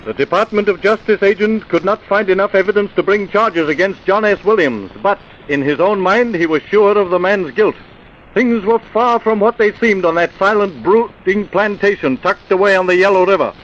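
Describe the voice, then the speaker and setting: A man's voice: sits high, normal speed, natural voice. A man delivering grave, dramatic scripted narration for an old-time radio crime drama. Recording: muffled, noisy vintage radio recording.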